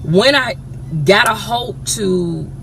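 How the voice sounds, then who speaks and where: A woman from with a flat voice, a radio DJ telling her story bluntly, cursing freely in a casual interview. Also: mid-range voice, slow pace, gravelly. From Chicago. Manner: blunt, profane, animated storytelling. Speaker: radio DJ guest. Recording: noisy background.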